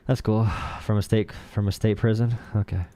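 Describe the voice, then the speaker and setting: A young man hosting a casual political livestream with callers, slangy and quick to mock his chat. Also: on the low side, steady tone, warm tone, dry recording, studio quality. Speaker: livestream host.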